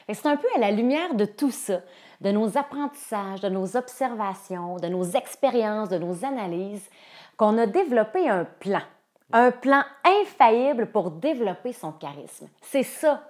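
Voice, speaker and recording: A woman's voice: medium pitch, lively intonation, moderate pace, a little mellow. Quebec French woman in her thirties. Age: 30s. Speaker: communication coach and course presenter. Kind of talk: personal storytelling and course promotion to viewers.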